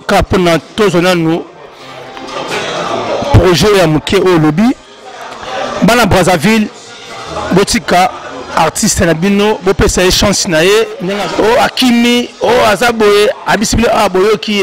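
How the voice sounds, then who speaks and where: Male host, high voice, halting delivery, music clearly underneath. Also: steady tone, low-quality recording. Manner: halting, many restarts. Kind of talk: interview in Lingala and French.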